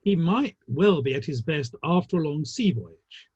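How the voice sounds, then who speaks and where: Muffled online audio of a man acting a worldly Victorian gentleman in a dramatic reading. The voice is fairly high and swings widely in pitch. The delivery is arch, formal and drily witty, relishing the gossip. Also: lively intonation, measured pace, slightly rough, dry recording, full character voice. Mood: gossipy, arch. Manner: arch, dry wit, formal phrasing.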